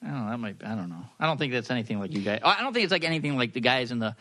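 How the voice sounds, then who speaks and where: A young man co-hosting a podcast, quick and loose, his pitch bouncing up and down as he jokes. He hedges a lot and fills his sentences with "I mean" and "you know.". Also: expressive, bright, forceful tone. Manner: joking, hesitant, many "I mean". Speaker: podcast co-host, husband. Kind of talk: casual podcast chat.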